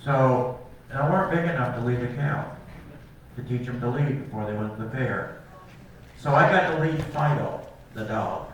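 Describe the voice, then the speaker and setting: A man's voice: mid-range voice, moderate pace, highs cut off. An older man, a cattle farmer, giving a rambling, folksy talk to an audience at a meeting. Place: large meeting hall. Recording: echoey hall from far off, some noise.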